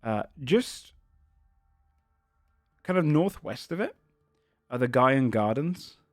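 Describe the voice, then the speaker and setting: A man's voice: medium pitch, animated, takes it slowly, no echo, clean studio sound, soft background music. A man narrating a tabletop game as game master, describing the scene in a searching, descriptive way.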